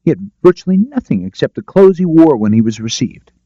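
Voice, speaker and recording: A man's voice: mid-range voice, sing-song, fairly quick, mellow, no echo, muffled recording, voice pushed up. A man voicing a cowed, apologetic older rest-home director who stammers defensively, in an audiobook. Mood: defensive, agitated. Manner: stammering, hesitant.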